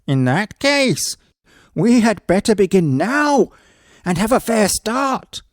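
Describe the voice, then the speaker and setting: A man's voice: big pitch swings, slow pace, dry recording, studio quality, heavily acted. A man voicing a crafty, hungry poor woodman, sly and wheedling, in a storybook reading. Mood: excited. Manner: sly, wheedling, rising pitch. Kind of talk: storybook read-aloud.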